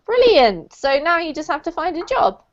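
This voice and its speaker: A woman teaching an online English class, greeting new students in a bright, encouraging way over a video call. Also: high-pitched, big pitch swings, normal speed, heavy background noise. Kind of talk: friendly online class talk. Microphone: computer mic on a video call. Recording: dull lo-fi call audio.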